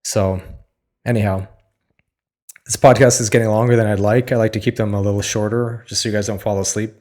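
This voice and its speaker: A slightly hoarse man talking candidly in a solo podcast, weary and confessional, halting often to restart his thoughts. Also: fairly low pitch, little pitch movement, a little mellow. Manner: halting, searching, with many restarts and fillers. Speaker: podcast host.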